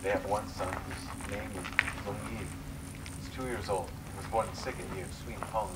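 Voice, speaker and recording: A man's voice: lowish voice, steady tone, normal speed, natural voice. Man acting young immigrant under questioning, very noisy distant recording. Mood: earnest, careful. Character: earnest nineteen-year-old Chinese immigrant man under interrogation. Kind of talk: dramatic reading of interrogation transcript. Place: live staged reading at public event. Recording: distant mic, loud background noise.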